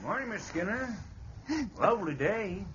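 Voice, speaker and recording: An actor playing a polite, solicitous gentleman, mild and chatty, in 1940s radio drama style. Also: high-pitched, sing-song, a little slow, slight background noise, exaggerated voice. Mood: polite concern. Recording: muffled old radio recording, loud music.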